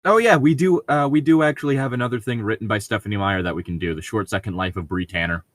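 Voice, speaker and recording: A man's voice: fairly low pitch, fast, dry recording, clean studio sound. A man co-hosting a casual fan fiction reading, riffing in a profane, sardonic way. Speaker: podcast co-host reading fan fiction aloud.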